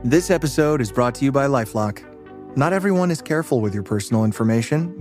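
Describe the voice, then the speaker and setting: A man's voice: medium pitch, darkish tone. A man announcer delivering a scripted sponsor read.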